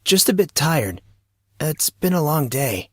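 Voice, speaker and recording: Audio drama schoolboy, teens, played by an adult man: youthful and wry, slightly husky, close dry sound. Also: a little high, sing-song, unhurried, a bit bright, no echo, pitched above the performer's own voice, full character voice. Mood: tired, casual. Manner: casual, youthful, blurting. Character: teenage schoolboy, earnest, wry, casual.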